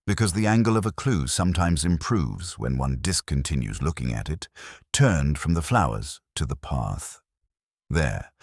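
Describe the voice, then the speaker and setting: Very deep man narrating a mystery. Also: dry recording. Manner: measured, dry, literary delivery. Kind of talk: scripted audiobook narration of a mystery story.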